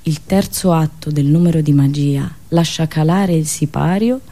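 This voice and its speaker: A woman's voice: low voice, warm tone. Theatrical woman telling satirical stories. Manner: dramatic, ironic delivery with pauses and drawn-out words. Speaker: radio host and monologist. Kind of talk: theatrical satirical storytelling monologue.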